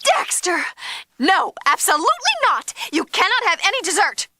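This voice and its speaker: A woman's voice: extremely high pitch, sing-song, normal speed, pushed and bright, no echo, clean studio sound, full character voice. A woman voicing a bossy, scolding character in a TV cartoon. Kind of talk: TV cartoon acting.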